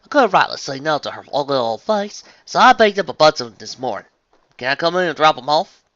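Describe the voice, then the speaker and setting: A man's voice: a little high, big pitch swings, fairly quick, fairly bright tone, no room sound, dull audio, voice pushed up. A man voicing a plain-spoken, hearty young female farm pony in a story, with a folksy Southern US twang and drawl. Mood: easygoing.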